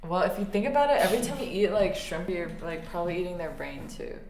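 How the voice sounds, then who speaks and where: Young woman, giggly teasing chat, lowish voice, echoey room. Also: big pitch swings, fast, darkish tone. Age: young adult. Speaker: podcast co-host. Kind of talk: casual chat. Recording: echoey room with faint hiss.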